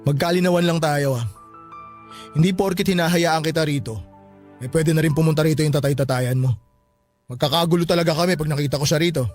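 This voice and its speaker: A bitter, guilt-ridden Filipino son at a wake, played by a male radio actor. His voice sits somewhat high for a man. Faint music plays underneath the drama. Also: fairly even intonation, quick pace, a little mellow, natural voice. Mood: bitter, curt. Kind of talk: Tagalog radio drama acting.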